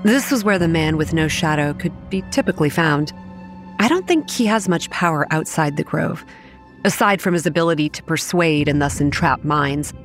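Young female narrator for audio horror: low register, grim, measured, over faint music. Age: young adult. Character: young woman campground manager, grimly recounting childhood horrors. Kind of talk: scripted first-person horror narration. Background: soft music.

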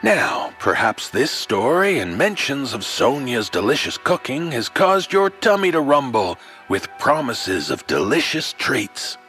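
A man tells a scripted horror tale with theatrical flair over a soft musical score. His mid-pitched voice swoops expressively as he addresses the listener in a wry, folksy manner. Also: big pitch swings, unhurried, hardly any pauses, soft background music. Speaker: horror anthology storyteller.

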